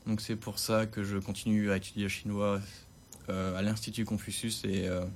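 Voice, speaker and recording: A young man in his twenties, a student, talking through his reasons at a measured pace and stumbling on a few words. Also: on the low side, flat delivery, slight background noise. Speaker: student, interviewee. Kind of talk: prepared testimony.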